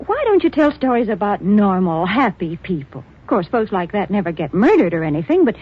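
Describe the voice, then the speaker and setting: A woman's voice: mid-range voice, big pitch swings, a little fast, husky. A woman doing a cheerful, folksy sponsor spot in 1940s radio banter. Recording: dull old radio recording.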